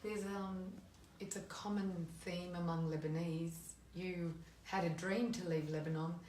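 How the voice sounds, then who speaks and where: A woman's voice: fairly low pitch, fairly even intonation, a little slow, a little mellow. A woman interviewer asking questions in a conversational, reflective way, recorded from a distance in a roomy space with faint hiss.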